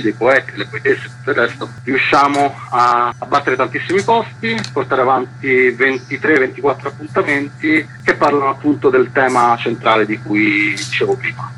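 A man's voice: medium pitch, pushed and bright. A man speaking as a festival organiser in a spontaneous radio interview, wordy and earnest, repeating words for emphasis. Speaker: cultural festival organiser and activist guest. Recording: noisy line with electrical hum.

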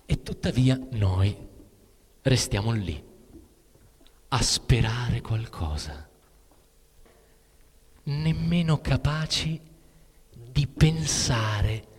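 A husky-voiced, disillusioned man delivers a bitter literary monologue in a theatrical reading. He speaks slowly and broodingly, with halting phrases. His voice carries a long reverb, as in a large hall. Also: mid-range voice, lively intonation, darkish tone, exaggerated voice. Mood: bleak, grave. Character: bitter, disillusioned male narrator musing on human misery. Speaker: actor performing a literary text. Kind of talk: dramatic literary reading with music.

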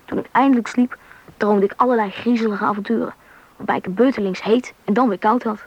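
A woman's voice: medium pitch, fairly even intonation, quick pace, darkish tone, breathy edge, no echo, muffled recording. A woman playing a curious, anxious schoolboy who narrates and acts in a Dutch radio play. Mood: narrating, wondering.

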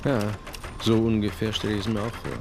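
An actor playing a level-headed police detective in a radio crime drama, speaking in a measured, terse, firm way. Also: male voice, fairly low pitch, little pitch movement, noisy background, close to an everyday voice. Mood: measured, thoughtful. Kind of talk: naturalistic German radio crime drama acting.

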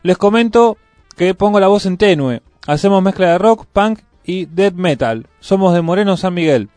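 High-voiced Argentine radio man, dry sound. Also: lively intonation, moderate pace, darkish tone, no echo. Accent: Buenos Aires Argentine. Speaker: radio co-host. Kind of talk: casual community radio talk and mail reading. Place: radio studio. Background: music.

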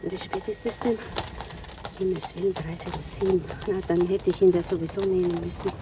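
An actress playing a wistful young woman in a radio drama, musing haltingly to herself. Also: fairly low pitch, fairly even intonation, noisy background, natural voice. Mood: fretting. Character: dreamy, wistful woman lost in her own thoughts. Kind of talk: radio drama interior monologue. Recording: distant microphone, music behind. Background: music.

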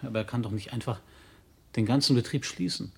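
A man's voice: mid-range voice, fairly quick, creaky, a little mellow, lightly acted. An actor playing a restless, troubled young man, a grown son, terse and brooding in a naturalistic radio drama. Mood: incredulous, upset. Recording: echoey, reverberant radio drama recording.

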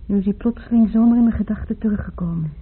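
An actress playing a wistful, fragile young woman in grief, reminiscing haltingly in a Dutch radio play. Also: mid-range voice, slightly rough. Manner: halting, reminiscing, repeats herself. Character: grieving young woman, Maria, wistful, fragile, tearful, in love. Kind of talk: Dutch radio play acting, intimate monologue. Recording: muffled, phone-like old radio recording.

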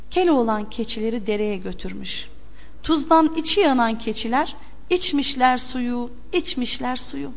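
A woman reading a scripted fairy tale for an audio storybook in an expressive, theatrical way. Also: sits high. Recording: long hall-like reverb.

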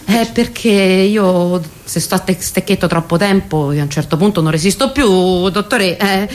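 An actress performs a hearty, sensual woman in a comic radio play. Her medium-pitched voice relishes each phrase and builds dramatically as she confesses. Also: normal speed, lightly acted. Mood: relishing, building. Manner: savouring, dramatic build-ups. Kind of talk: comic radio theatre monologue.

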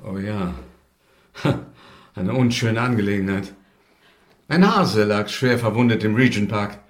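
An actor playing a tormented, secretive gentleman lodger in a radio play, formal and clipped with a volatile edge. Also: on the low side, unhurried, lightly acted. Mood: uneasy, explaining. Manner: formal, clipped, erupting into sharpness.

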